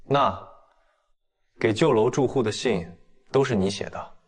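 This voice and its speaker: A man playing a stern, restrained police detective in an audio drama, questioning gravely and with control. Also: mid-range voice, unhurried, lightly acted. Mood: stern, probing. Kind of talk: dramatized audio fiction acting.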